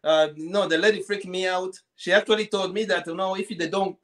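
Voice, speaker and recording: A man's voice: sits high, fairly even intonation, fairly quick. A man in his thirties with a Central African accent, telling a personal story in casual chat, repeating phrases and acting out dialogue. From Congo. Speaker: podcast guest, poet. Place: small indoor room at home, video call.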